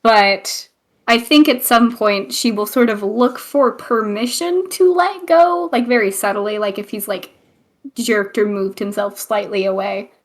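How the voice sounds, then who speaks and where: A woman player in a tabletop game, describing her character's actions in a halting way. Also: mid-range voice.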